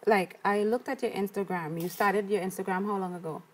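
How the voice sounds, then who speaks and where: A woman's voice: medium pitch, steady tone, a little fast, slight background noise, poor audio. A woman from Trinidad with a Trinidadian accent, hosting a talk show and interviewing a guest in a playful, flirty, teasing way.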